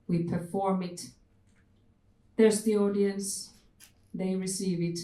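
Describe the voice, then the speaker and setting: Slow, mellow woman moderating a discussion. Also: medium pitch, little pitch movement. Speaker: panel moderator. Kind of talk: thoughtful panel discussion, hesitant questioning. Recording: echoey room.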